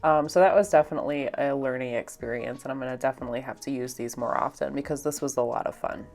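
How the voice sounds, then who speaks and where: Stuffed-up low-voiced woman chatting. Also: quick pace, faint music behind. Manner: chatty, rambling, many fillers and soft laughs. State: congested, stuffed up. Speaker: art vlogger. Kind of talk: casual voice-over while painting.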